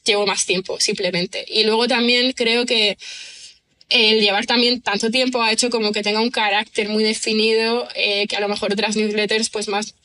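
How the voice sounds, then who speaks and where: Bright-voiced chatty woman. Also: medium pitch, little pitch movement, moderate pace. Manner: chatty, many fillers and tag questions. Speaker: guest writer. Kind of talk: relaxed podcast conversation.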